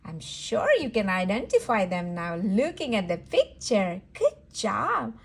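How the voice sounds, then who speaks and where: A woman's voice: medium pitch, huge pitch swings, unhurried, gravelly, husky. A woman leading a read-aloud word lesson for toddlers, sing-song and encouraging, repeating words for a small child. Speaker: children's educator and host.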